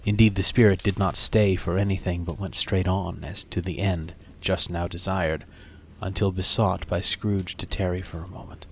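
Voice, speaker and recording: A man with a fairly low voice narrates a classic tale in audiobook style. His delivery is deliberate, solemn and expressive. Some noise can be heard behind him. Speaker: storyteller, narrator.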